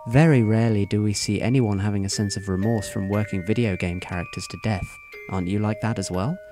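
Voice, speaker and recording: A man playing a composed, analytical teenage schoolboy in an audio drama, speaking in a formal, measured, wordy way. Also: lowish voice, fairly even intonation, music in the background, lightly acted. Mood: coolly speculative.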